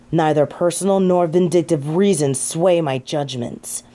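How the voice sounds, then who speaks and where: A woman's voice: on the low side, warm tone. A woman playing a snobby, indignant fallen angel in an audio roleplay, with pompous, formal, archaic phrasing and an easily affronted manner. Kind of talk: scripted audio roleplay acting.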